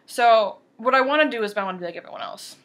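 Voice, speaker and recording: A woman's voice: on the high side, lively intonation, fast talker, fairly bright tone. A woman of twenty-two talking candidly to camera, stuttering now and then and repeating or cutting off words, earnest and open. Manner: earnest and open, with fillers and brief laughs. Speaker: vlogger. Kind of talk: candid personal talk to camera.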